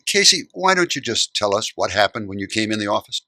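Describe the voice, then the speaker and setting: An actor playing a kindly, patient older man in a radio drama, calmly and reassuringly questioning children. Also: fairly low pitch, a little fast, full character voice.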